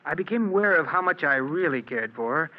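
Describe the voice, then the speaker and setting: A muffled old radio recording carries a wistful married man. His voice sits rather high and he speaks with earnest yearning, in the emphatic style of 1940s radio drama. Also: expressive, a little fast. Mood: wistful reminiscing. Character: henpecked, wistful married man in love, recounting his story.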